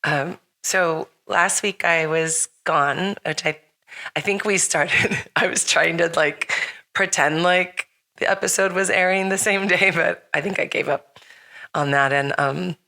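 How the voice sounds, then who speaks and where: A middle-aged woman hosting a podcast in casual chat, her voice a bit raspy after being sick, rambling with fillers and restarts. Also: lowish voice, a little slow, pushed and bright. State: slightly raspy from recent illness. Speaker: podcast host.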